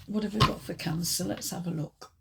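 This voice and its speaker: A woman reading tarot cards in a casual, chatty way, hesitant and full of ums, her voice still croaky from a chest infection. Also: lowish voice, fairly even intonation, fairly quick, noisy background. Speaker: tarot reader.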